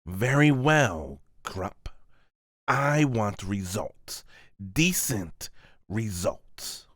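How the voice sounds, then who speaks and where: A menacing villain lord for a manga dub, mocking and theatrical. He drawls his threats slowly and swings wildly in pitch, recorded close and dry. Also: expressive, a bit bright, no room sound, exaggerated voice. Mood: cold warning. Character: menacing, mocking male lord and mad experimenter. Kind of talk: amateur manga voice-over.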